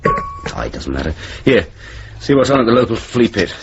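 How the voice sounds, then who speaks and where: An actor playing a caring but slightly grumbling, practical husband, casual and colloquial, in a radio drama. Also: male voice, mid-range voice, wildly swooping pitch, normal speed. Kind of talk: naturalistic radio drama acting. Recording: dull radio recording, clear background noise.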